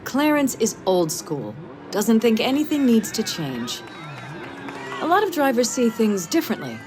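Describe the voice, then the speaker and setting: An actress playing a confident, brisk racing team boss in a video game, self-assured and wry, with a mentoring manner. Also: female voice, fairly high pitch, big pitch swings, a crowd in the background, background noise. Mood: informative, wry. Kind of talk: video game cutscene acting.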